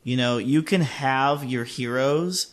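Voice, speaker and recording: A man's voice: medium pitch, slow pace. A man in his thirties with a mid-range voice chats as a podcast co-host. His talk is casual, candid and self-deprecating. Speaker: podcast co-host, singer and music teacher.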